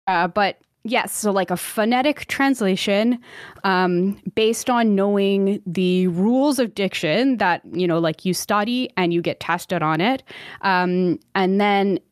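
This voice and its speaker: A woman's voice: medium pitch, unhurried, clean tone, no room sound, clean studio sound. A woman who sings opera, chatting in an interview and filling her talk with "like" and "um". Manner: chatty, lots of "like" and "um", laughs easily. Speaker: opera singer guest. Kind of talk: casual interview chat.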